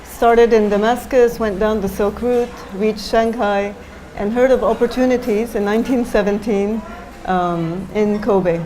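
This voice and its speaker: A woman's voice: mid-range voice, steady tone, measured pace, dark tone, a little hiss or hum, low-quality recording. A middle-aged woman from Japan, a designer talking as a guest in an onstage interview, thoughtful and hesitant with many fillers.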